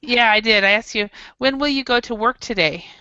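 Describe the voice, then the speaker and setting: Bright, clear woman teaching casually online. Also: fairly high pitch, brisk, no room sound. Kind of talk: casual group lesson chat. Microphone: online voice call. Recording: slightly dull, compressed online audio.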